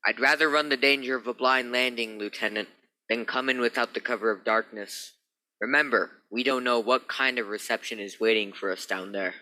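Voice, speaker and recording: A man's voice: medium pitch, fairly even intonation, brisk, pushed and bright, dry recording, poor audio. A man playing a stern naval spaceship captain in a radio drama, giving clipped, authoritative orders. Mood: commanding, steady. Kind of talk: old-time radio drama acting.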